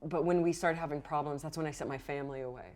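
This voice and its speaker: A woman's voice: low voice, a little mellow, pitched below the performer's own voice, lightly acted. A woman playing a nervous, secretive beekeeper who stammers and halts as she answers in a tabletop game. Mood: evasive, flustered. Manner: flustered, halting, stammering. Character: nervous, secretive beekeeper dodging questions about magic bees.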